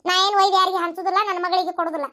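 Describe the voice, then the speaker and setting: A woman plays an indignant middle-aged daughter-in-law in a village cartoon. She lectures and argues at a quick clip in a very high, sharp voice. Her accent is North Karnataka, and she sounds firmly in charge. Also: fairly even intonation. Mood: firm, bossy. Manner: argumentative, lecturing, insistent. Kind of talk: village comedy cartoon dialogue.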